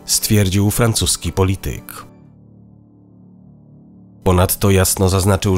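Deep-voiced male news narrator. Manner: even, measured reading. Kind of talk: scripted news voice-over. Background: faint background music.

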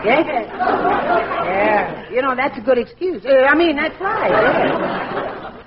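Flustered, swooping radio sitcom dad. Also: male voice, very high-pitched, very animated, slow, a loud crowd, heavily acted. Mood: sheepish, coaxing. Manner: hesitant, stammering, muttering agreement. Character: bumbling, sheepish suburban father, easily flustered. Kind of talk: 1940s radio comedy acting. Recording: muffled noisy old radio recording.

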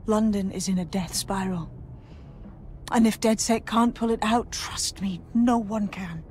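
Game rebel leader played by a woman, mid pitch, weary but determined, earnest and urgent. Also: unhurried, a little mellow, soft background music, lightly acted. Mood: urgent, resolute. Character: battle-weary, determined female resistance leader. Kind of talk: video game character acting.

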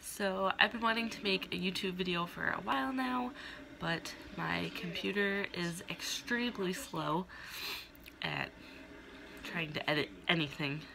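A woman talks to her phone at arm's length, her voice sitting slightly low. Her nose is stuffed up, giving a congested sound. She chats casually and a little awkwardly. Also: unhurried, vocal fry, crowd noise, low-quality recording. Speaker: vlogger and gym co-owner. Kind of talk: casual vlog to camera. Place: indoors, small room.

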